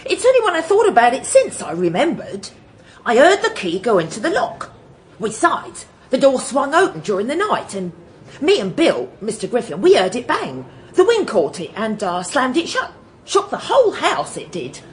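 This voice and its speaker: A woman's voice: a little high, huge pitch swings, normal speed, slight background noise. A woman playing a plain-spoken, deferential British working woman, hesitant and colloquial as a witness in a radio drama. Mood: earnest.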